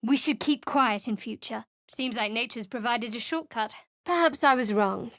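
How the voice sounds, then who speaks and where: A woman's voice: a little high, moderate pace, a bit bright, dry recording. A woman voicing a guarded, sardonic young woman cleric in a studio game, speaking in a dry, curt, secretive way. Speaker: game character.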